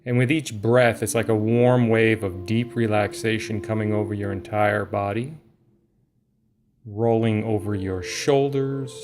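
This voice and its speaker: A man with a fairly low voice leads a guided meditation at a very slow pace. His delivery is soothing and lulling, with long pauses between short phrases. Soft music plays faintly behind him. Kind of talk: guided relaxation meditation, scripted.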